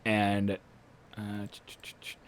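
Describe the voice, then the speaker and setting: A man's voice: on the low side, monotone, takes it slowly, noisy background. A young man narrating as dungeon master, hesitant, with frequent uh and restarts. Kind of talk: narrating a tabletop game.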